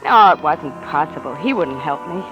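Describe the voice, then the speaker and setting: A woman's voice: low-pitched, expressive, normal speed, rough recording. An actress playing a frightened but tough-talking young woman in a vintage radio mystery, slangy, sardonic and emotive. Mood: uneasy, wry. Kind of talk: 1940s radio acting, first-person narration and dialogue. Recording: vintage radio drama with music behind.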